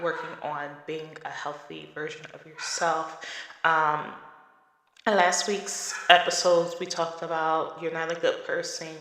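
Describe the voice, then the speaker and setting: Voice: medium pitch, fairly bright tone. A podcast host in their thirties, talking casually and emphatically in a solo monologue. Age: 30s. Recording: echoey room with long reverb.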